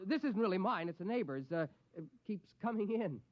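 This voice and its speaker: Unstable murderous fellow, muttering, forced friendliness, swooping pitch, dull vintage radio recording. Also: male voice, expressive, fairly quick. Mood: nervous, falsely friendly. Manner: muttering to himself, lurching into nervous excuse-making. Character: unhinged, murderous man hiding hatred behind forced geniality. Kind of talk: 1950s radio drama acting.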